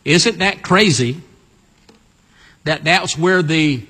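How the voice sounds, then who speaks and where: A preacher in his sixties with a husky, bright edge to his voice, pitched a little high for a man. He urges the congregation to answer back, and his words ring out through a church with a long reverb. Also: slow. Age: 60s. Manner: call-and-response preaching, urging the congregation. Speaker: pastor. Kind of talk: sermon. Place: church sanctuary.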